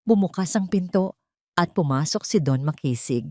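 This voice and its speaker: A woman's voice: fairly low pitch, animated, moderate pace, darkish tone, no room sound, studio quality. A woman reading a scripted romantic story aloud as a dramatic narrator.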